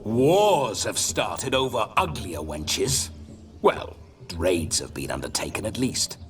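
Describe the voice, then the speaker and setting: A man's voice: on the low side, very animated, takes it slowly. Theatrical ghost nobleman, wildly swooping voice. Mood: teasing, lecherous. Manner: theatrical, swaggering, archaic lordly speech. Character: boisterous, lecherous ghost of a dead nobleman. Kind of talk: video game character acting. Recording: music behind the voice.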